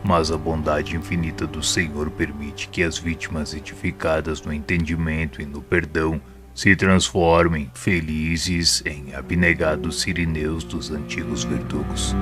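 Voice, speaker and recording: Wise mentor spirit for audio drama, played by a man: bass-deep, grave, measured teaching delivery over clear music bed. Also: fairly bright tone. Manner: solemn, measured, didactic. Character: wise, solemn male spirit mentor explaining hell and mercy. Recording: music playing behind the voice.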